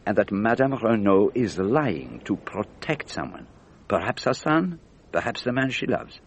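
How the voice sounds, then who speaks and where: Low-voiced elderly detective. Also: male voice, big pitch swings, a little hiss or hum. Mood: patient, probing. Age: older. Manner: precise, deliberate, didactic questioning. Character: elderly, methodical, patient Belgian male detective. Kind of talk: radio drama dialogue.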